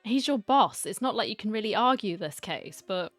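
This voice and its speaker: A woman's voice: mid-range voice, lively intonation, normal speed, fairly bright tone, faint music behind. Chatty, cheeky woman reacting to a game. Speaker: gamer reacting to a story quest. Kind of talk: casual reaction commentary.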